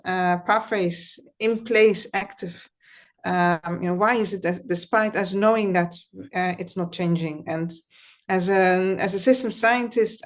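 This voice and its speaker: A middle-aged woman with a Dutch accent, speaking over a video call as an interview guest and talking in a thoughtful, reflective way with soft laughs. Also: mid-range voice. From Netherlands. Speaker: guest, founder and system scientist. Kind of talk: conversational interview.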